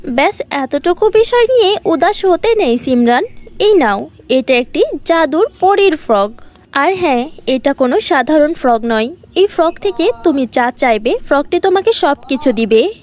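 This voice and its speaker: Kind high-voiced fairy played by a woman. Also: animated, unhurried, darkish tone. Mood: reassuring. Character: kind, reassuring female fairy.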